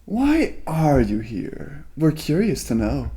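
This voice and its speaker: A playful yet sinister young male ghost played by a man talks in a dark, soft-toned timbre with big swoops of pitch. He draws out his phrases theatrically, teasing like a romantic yandere in an ASMR horror drama. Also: wildly swooping pitch, slow pace, full character voice. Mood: mocking.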